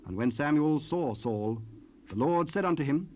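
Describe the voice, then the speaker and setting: A man narrating scripture from a script in a formal, measured way. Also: fairly high pitch, expressive, dark tone. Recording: hissy 1970s cassette rip.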